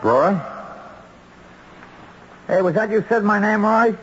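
An actor playing a jumpy small-time crook in a vintage radio drama, talking in folksy, ungrammatical slang. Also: male voice, high-pitched, slow pace. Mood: panicked. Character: jumpy small-time male crook, potentially violent, easily spooked. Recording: dull, hissy old radio recording.

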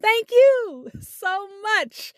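A woman hosting a radio show, speaking in a deliberate, emphatic way with dramatic pauses, as in scripted spoken word. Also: very high voice, sing-song, no room sound.

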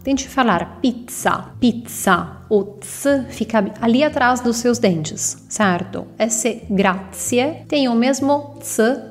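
A woman's voice: on the high side, expressive, takes it slowly, hardly any pauses, faint music behind. A young adult Italian woman teaching phrases in a language lesson podcast, speaking with an Italian accent and explaining patiently, repeating words for practice. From Italy.

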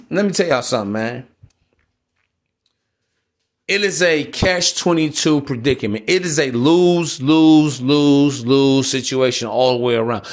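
A man with an American accent giving an informal, blunt monologue to listeners, slangy and preachy, repeating himself for emphasis. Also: fairly high pitch. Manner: emphatic repetition. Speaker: podcast host.

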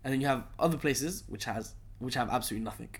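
A man's voice: medium pitch, fast. A young man from London, a guest telling stories in a casual chat, full of slang and "right?" tags. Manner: animated storyteller, lots of slang and "right?" tags.

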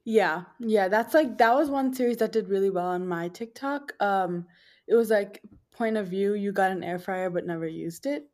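Podcast guest, female, twenties, nasal mid-pitched voice, casual and conversational delivery. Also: moderate pace, darkish tone. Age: 20s. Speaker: podcast guest, food blogger.

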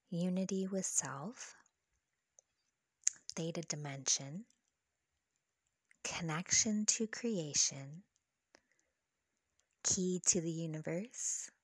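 A woman with a slightly low, breathy voice leads a guided meditation. She speaks very slowly and soothingly, measuring out each phrase of her scripted affirmations. The sound is soft and close, with a very dry recording. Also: dry recording. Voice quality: soft, breathy, partly whispery. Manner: soothing, slow, measured delivery.

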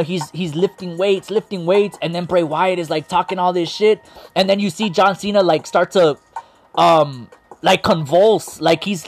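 A man gives a rambling, hyped recap in a slightly high voice. His voice is going hoarse from all the talking, and he keeps throwing in "like" and "man." Light music plays faintly behind him. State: losing his voice. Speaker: wrestling show host. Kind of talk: excited casual commentary.

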